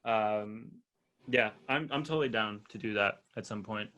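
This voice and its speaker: A man's voice: on the low side, moderate pace, bright, forceful tone. A young man who grew up in Estonia, chatting casually on a call, hesitant with many fillers and restarts. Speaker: artist in a collaboration. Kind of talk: casual video-call chat. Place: apartment in a quiet block.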